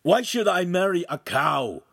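A man voicing a jealous, boastful young suitor in a story, loud and indignant, spitting out his phrases. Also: mid-range voice, unhurried, slightly rough, no echo, clean studio sound, pitched above the performer's own voice. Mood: aghast.

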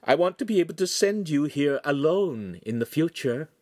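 A man reading an audiobook and voicing a cheerful, bossy noblewoman in a high, sing-song lilt. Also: animated, normal speed, a little mellow, dry recording, clean studio sound. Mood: brisk, cheerful. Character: fussy older female noblewoman, brisk and cheerful. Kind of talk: audiobook character voice.

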